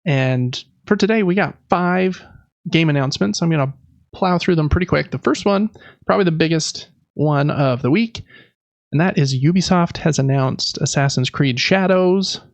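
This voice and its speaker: A man's voice: fairly high pitch, normal speed, no echo, highs cut off. A man hosting a podcast, chatting casually and informally through gaming news. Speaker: podcast host. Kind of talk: casual video game news talk.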